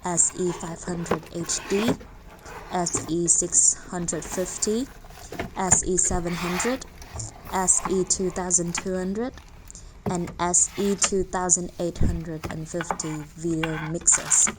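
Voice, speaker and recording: Slow, low-pitched woman reading product narration. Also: fairly even intonation, noisy background. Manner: formal, reading out specifications. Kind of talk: scripted product overview narration.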